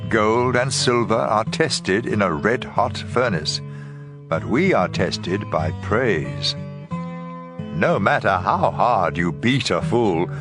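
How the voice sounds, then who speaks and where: Deep-voiced man reading scripture, slow and expressive. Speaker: audio Bible reader. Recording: guitar music bed. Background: plucked guitar music.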